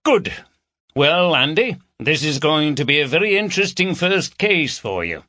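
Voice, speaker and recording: A man's voice: fairly high pitch, sing-song, moderate pace, bright, forceful tone, dry recording, studio quality, voice pushed up. A man voicing a jovial, teasing middle-aged Yorkshire police chief with a broad, bantering manner. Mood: hearty. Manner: hearty, bantering, broad dialect. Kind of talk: audiobook character voice.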